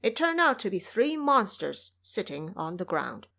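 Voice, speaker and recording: Expressive woman narrating a classic tale. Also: medium pitch, a little slow, gravelly. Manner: expressive, vivid descriptions. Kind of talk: scripted storytelling narration.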